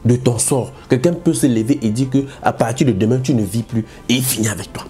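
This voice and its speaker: A man's voice: mid-range voice, warm tone. A man hosting a commentary show, speaking emphatically and repeating his points. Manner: repetitive, emphatic. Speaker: host. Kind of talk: commentary monologue.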